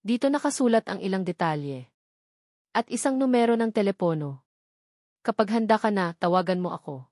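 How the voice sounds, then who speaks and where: A woman voicing a gentle, soft-spoken and secretive woman, an earnest family friend in an audio drama. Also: mid-range voice, expressive, brisk, pauses often, no room sound, clean studio sound, close to an everyday voice.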